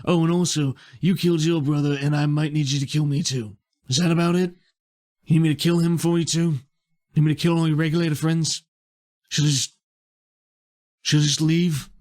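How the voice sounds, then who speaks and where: A man playing a bitter, guilt-ridden exile in a tabletop roleplay, with a husky voice and a terse, brooding, pointed delivery. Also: a little high, fairly even intonation, a little fast, darkish tone, close to an everyday voice. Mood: bitter, sarcastic. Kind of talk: improvised tabletop roleplay dialogue.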